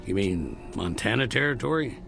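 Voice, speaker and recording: An actor playing a bewildered, wary nineteenth-century cowboy with an American accent and terse, folksy speech in a classic television drama. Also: male voice, fairly low pitch, lively intonation, normal speed, gravelly, a bit bright, soft background music. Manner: terse, folksy, rustic questioning.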